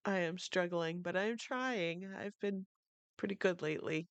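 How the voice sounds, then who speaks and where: A woman's voice: fairly low pitch, moderate pace, clear, resonant tone, no room sound. A woman co-hosting a podcast in casual chat, congested and giggling often. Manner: giggly, chatty. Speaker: podcast co-host.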